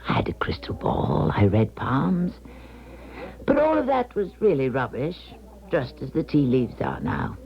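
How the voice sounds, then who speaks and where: Very deep-voiced old clairvoyant. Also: female voice, full character voice. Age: older. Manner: theatrical, deliberate, hushed breathy phrase endings. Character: elderly Russian émigré woman, former clairvoyant, earnest and mysterious. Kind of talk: 1970s radio drama acting, confiding monologue. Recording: muffled 1970s radio broadcast recording.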